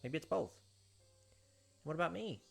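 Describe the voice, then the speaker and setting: A man's voice: fairly high pitch, lively intonation, normal speed, creaky, faint music behind. A man hosting a let's play, chatting casually and reading the game's story text aloud.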